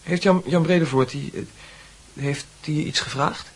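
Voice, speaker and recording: An actor playing an earnest, hesitant young man in a Dutch radio play, with a husky voice. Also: on the high side, normal speed. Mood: shy, halting.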